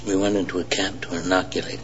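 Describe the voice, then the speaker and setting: An actor playing a brooding, menacing older renegade army colonel, speaking low and slow in a half whisper, in a film clip. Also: male voice, flat delivery, natural voice. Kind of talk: film dialogue. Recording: muffled film audio with background hiss.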